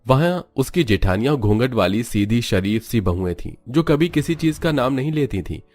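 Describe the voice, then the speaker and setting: A man narrating a cartoon story in a steady, dramatic storytelling manner. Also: mid-range voice, moderate pace, soft background music.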